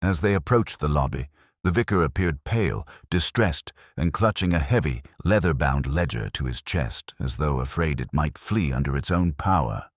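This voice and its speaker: A man reading a detective story as scripted dramatic narration, in a measured, literary way. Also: a bass voice, lively intonation, dry recording, clean studio sound.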